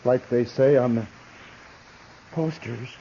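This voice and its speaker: An American actor playing a wounded, sardonic outlaw in a 1950s radio western, weak and halting. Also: male voice, mid-range voice, warm tone. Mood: weak, wry. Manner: halting, drawn-out. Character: wounded, sardonic male outlaw in the Old West. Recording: muffled old radio recording, faint noise.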